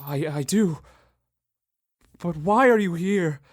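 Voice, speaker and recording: A man's voice: a little high, slow. A cranky old miser in a radio play, voiced by an actor. He scoffs and doubts in a querulous tone, and turns wheedling and pleading when he gets frightened. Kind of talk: radio drama acting.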